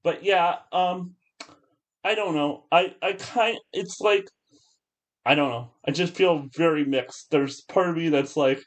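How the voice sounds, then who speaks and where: A man's voice: a little high, measured pace. A man co-hosting a podcast, chatting casually about films in a hesitant way, circling back and repeating his phrases. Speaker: podcast co-host. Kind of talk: casual film discussion chat.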